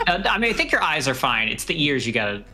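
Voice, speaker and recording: A man's voice: on the high side, sing-song, fast talker, gravelly, sharp tone, faint music behind. A man chatting casually as a livestream guest, with a dry, wry, rambling way of bantering.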